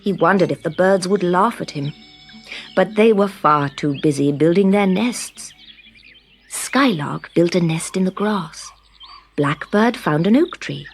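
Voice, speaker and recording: A woman reading a scripted children's story in an expressive, deliberate storytelling manner. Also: on the low side. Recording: background music under the reading.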